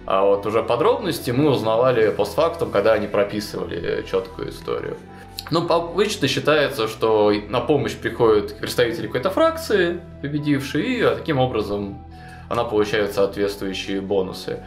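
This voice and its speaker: A young man casually retelling a tabletop game campaign to a co-host, animated and full of fillers and restarts, in a small indoor studio. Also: on the low side, brisk. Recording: roomy echo, faint music behind.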